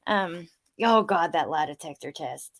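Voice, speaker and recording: A woman's voice: low voice, expressive, unhurried. A woman co-hosting a recap discussion, talking casually and informally with the odd mild swear word. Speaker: co-host of a true crime recap show.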